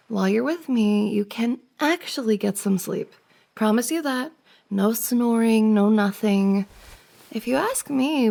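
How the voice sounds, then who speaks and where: A woman's voice: a little high, vocal fry, darkish tone, heavily acted. A woman playing a friend's giggly, teasing older sister in an intimate, conversational ASMR roleplay.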